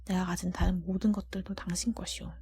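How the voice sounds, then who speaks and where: A lovelorn cursed beast prince from a storybook, as a woman reads him, talks in a very breathy whisper. The voice is mellow and muted, with solemn, old-fashioned formality. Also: fairly low pitch, level tone, quick pace. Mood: solemn devotion.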